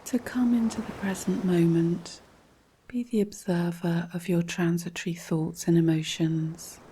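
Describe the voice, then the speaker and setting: A woman reading a scripted guided relaxation in a calm, measured, instructional way, with a soft, breathy voice. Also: fairly low pitch, a little hiss or hum. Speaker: guided meditation narrator.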